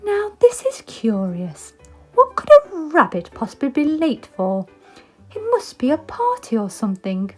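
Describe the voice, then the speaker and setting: A woman's voice: high voice, wildly swooping pitch, unhurried, warm tone, faint music behind, pitched above the performer's own voice, exaggerated voice. A British woman voicing a curious, polite young girl in a storybook, wondering and exclaiming to herself.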